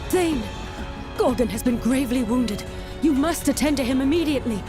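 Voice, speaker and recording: A woman's voice: sits high, normal speed, music in the background, natural voice. An actress playing a commanding queen in a video game, formal and authoritative. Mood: urgent command. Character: regal, commanding queen of a hidden people.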